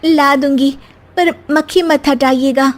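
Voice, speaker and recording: Woman acting a naive young daughter-in-law, very high voice, pious, pleading, preachy, comic moral tale. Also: fairly even intonation, slow pace. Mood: polite, insistent. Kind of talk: Hindi moral comedy storybook cartoon acting.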